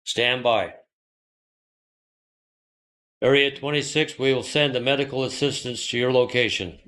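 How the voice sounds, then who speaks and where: Mid-pitched man on radio procedure. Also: measured pace, fairly bright tone. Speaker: radio communicator in a drill. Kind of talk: scripted two-way radio exchange.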